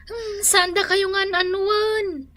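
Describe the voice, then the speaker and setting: A high, plaintive female voice with an Ilocano accent as a grown daughter in a radio drama. She sighs and chides, exasperated yet dutiful. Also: little pitch movement, slow pace. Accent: Filipino, Ilocano.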